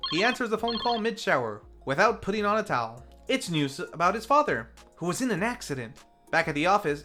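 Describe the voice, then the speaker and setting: Film recap narrator, scripted, lively intonation, wry asides, faint background music. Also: mid-range voice. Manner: wry tongue-in-cheek asides. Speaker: video reviewer.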